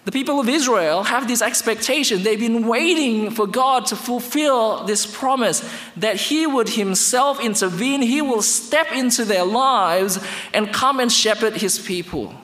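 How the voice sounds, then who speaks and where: High-voiced man preaching in a reverberant hall. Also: measured pace. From Indonesia. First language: Indonesian. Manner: expressive, swings between emphatic highs and quiet lows. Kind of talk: sermon.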